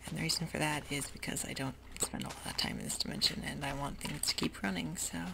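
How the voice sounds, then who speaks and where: A woman whispering ASMR gameplay commentary in a soft, rambling way with many ums. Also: sits low, steady tone, fast talker, vocal fry, noisy background. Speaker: gaming ASMR host.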